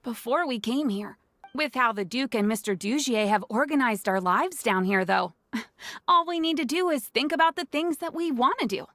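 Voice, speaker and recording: Casting: easygoing, chatty female inmate librarian, fairly high voice, close dry sound, game dialogue. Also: animated, normal speed, a bit bright, no room sound. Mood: friendly, content. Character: friendly, chatty female prisoner tidying bookshelves. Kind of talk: video game voice acting.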